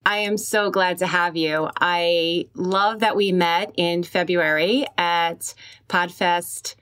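Low-pitched Long Island woman hosting a podcast. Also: fairly even intonation, takes it slowly, a bit bright, clear, resonant tone. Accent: Long Island, New York. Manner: enthusiastic, chatty. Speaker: podcast host. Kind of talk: upbeat podcast introduction and interview.

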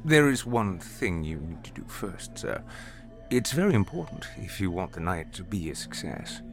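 A man voicing a sinister, calm and menacing male waiter in a horror story, hushed and sneering. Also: low-pitched, normal speed, soft background music. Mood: smooth, polite. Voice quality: hushed, whispery. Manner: calm, sneering threats that rise to shouts.

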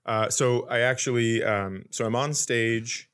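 A man sharing comic anecdotes in casual podcast chat, with a halting, wry way of talking. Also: mid-range voice, fairly even intonation, a bit bright, smooth. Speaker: comedian, podcast co-host.

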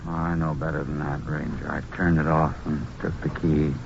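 An actor playing a weary, wounded male crime victim, gravelly and halting, in a radio drama. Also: rumbling low, flat delivery. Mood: weary, pained. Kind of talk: 1950s western radio drama acting. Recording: muffled, hissy 1950s radio recording.

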